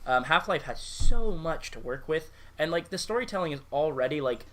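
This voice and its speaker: A man's voice: a little high, expressive, moderate pace, fairly bright tone. A man co-hosting a podcast, chatting casually and enthusiastically about video games with friends. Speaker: podcast co-host.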